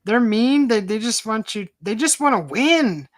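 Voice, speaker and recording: A woman hosting a casual live-streamed chat, relaxed and agreeable with her guests. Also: on the high side, measured pace, no echo, studio quality. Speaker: host.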